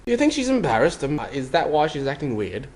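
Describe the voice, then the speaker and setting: A man's voice: on the high side, huge pitch swings, a little mellow, pitched above the performer's own voice. Man doing a dim, bewildered teenage wizard boy. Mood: puzzled, concerned. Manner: slow-witted, puzzled.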